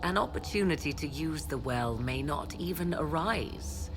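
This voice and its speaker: Low-voiced, proud sorceress. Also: female voice, expressive, heavy background noise. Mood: earnest, persuasive. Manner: formal, measured, archaic phrasing, haughty. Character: proud, guarded sorceress mother, driven by secret ambition. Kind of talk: dramatic scripted game dialogue.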